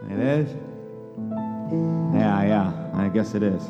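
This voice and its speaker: A man plays a weary, despairing everyman on a live radio play stage with some hall reverb. The voice sits fairly low, halting and sardonic, with a folksy stammer. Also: highs cut off. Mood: dazed, despairing. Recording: hall reverb, music behind.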